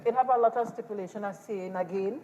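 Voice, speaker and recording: A woman's voice: mid-range voice, fast talker, over a noisy crowd, close to an everyday voice, poor audio. A woman acting in a stage play as a feisty, stubborn older woman, with a Trinidadian accent and an insistent, repetitive delivery. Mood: skeptical. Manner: insistent, repeats questions.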